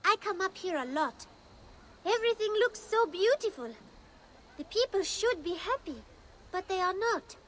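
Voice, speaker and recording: A woman's voice: extremely high pitch, animated, moderate pace, a bit bright, slight background noise, rough recording. A woman playing a wistful, innocent young girl in a video game, with simple childlike phrasing. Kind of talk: dramatic video game acting.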